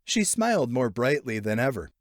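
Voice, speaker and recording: Male audiobook narrator, animated pitch, dramatic phrasing, close dry sound for literary fiction. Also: moderate pace, dry recording. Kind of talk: expressive scripted narration of a literary short story.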